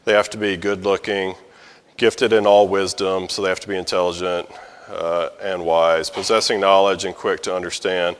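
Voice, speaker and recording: A man leading a casual Bible study class, explaining a passage in a relaxed, conversational way with frequent fillers. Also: low-pitched, normal speed, clear, resonant tone. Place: church meeting room. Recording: echoey hall with long reverb.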